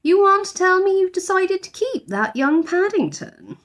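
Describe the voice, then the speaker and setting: A woman voicing a stern but soft-hearted housekeeper in a storybook, imperious and deliberate in her delivery. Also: very high voice, sing-song, voice pushed up. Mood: stern, knowing.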